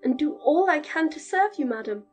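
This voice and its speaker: A woman voicing a polite, frightened young boy who pleads softly in a storybook. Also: sits high, lively intonation, moderate pace, faint music behind, pitched above the performer's own voice. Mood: pleading.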